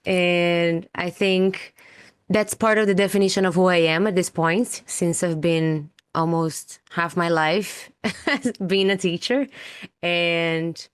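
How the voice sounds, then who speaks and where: A woman's voice: fairly low pitch, steady tone, takes it slowly, clear, resonant tone, dry recording, studio quality. A teacher, a woman whose first language is Portuguese, speaking in a casual podcast interview, thoughtful and laughing lightly between points.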